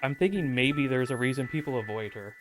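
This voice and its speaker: A woman's voice: extremely low pitch, a little fast. A woman co-hosting a game playthrough, making casual, conversational remarks. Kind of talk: casual chat. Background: background music.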